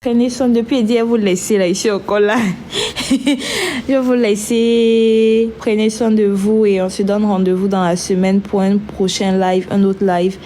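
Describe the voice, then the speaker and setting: Femme animatrice de live, enrhumée, débit lent, léger bruit de fond. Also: female voice, mid-range voice, steady tone, mellow, slight background noise. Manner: slow, chatty, trailing restarts. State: has a cold. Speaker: livestream host. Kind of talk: casual live-stream chat.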